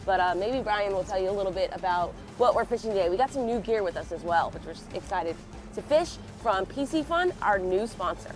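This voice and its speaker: A woman's voice: mid-range voice, brisk, faint music behind. A young woman hosting a fishing vlog outdoors on a boat, a little nasal from a head cold and upbeat as she talks. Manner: upbeat, excited when a fish hits. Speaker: fishing channel host. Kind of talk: casual vlog narration on a boat.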